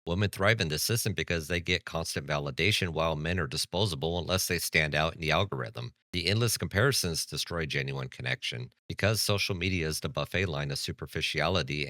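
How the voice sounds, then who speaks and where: Very deep-voiced blunt male commentator. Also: pushed and bright, no echo, studio quality. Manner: blunt, lecturing, sarcastic. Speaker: commentary channel host. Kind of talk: reaction commentary.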